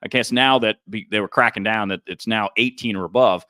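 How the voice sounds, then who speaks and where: A man's voice: lowish voice, brisk, bright, forceful tone, dry recording, studio quality. A man co-hosting a podcast, explaining in an earnest, casual political-talk manner. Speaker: podcast co-host.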